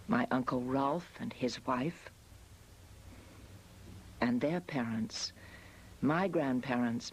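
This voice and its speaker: A woman from the United States narrating a scripted personal documentary as a photographer, in a measured, reflective manner. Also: extremely low pitch, husky. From United States, after early childhood in Australia. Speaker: photographer narrating her family history.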